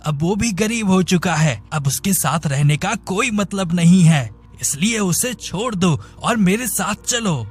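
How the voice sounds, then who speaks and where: A man voicing a cunning blind schemer who puts on a plaintive, melodramatic manner in an animated story. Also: high-pitched, a little slow, hardly any pauses, slightly rough, soft background music, exaggerated voice. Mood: scheming. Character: scheming blind man feigning helpless, wronged innocence. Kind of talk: animated moral story dialogue.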